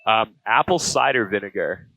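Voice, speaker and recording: A man's voice: fairly low pitch, expressive, moderate pace, bright, forceful tone, poor audio. A man hosting a casual quiz-style interview, chatting informally. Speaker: show host and pilot. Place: small aircraft cockpit.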